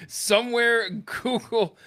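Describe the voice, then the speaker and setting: A man hosting a casual comic studio chat, joking and thinking aloud in a halting way. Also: extremely high pitch, fairly even intonation, bright, forceful tone. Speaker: radio show host. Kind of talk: casual comic radio banter.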